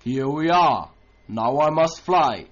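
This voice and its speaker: A man's voice: on the high side, animated, slow pace, a little hiss or hum. A man voicing a sly male wolf who talks in a falsely polite, coaxing way, in a dull lo-fi recording.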